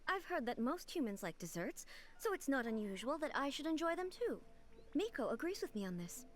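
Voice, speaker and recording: A woman playing a serene, regal female ruler in a video game, composed, formal and curious, with gentle authority. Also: high-pitched, big pitch swings, a little fast. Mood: earnest, assured.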